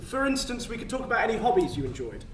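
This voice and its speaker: Earnest official, animated intonation. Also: male voice, high voice, fast talker. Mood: patient, probing. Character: earnest, careful male afterlife official, patient but self-doubting. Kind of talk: stage play acting. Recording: distant roomy stage recording, faint noise.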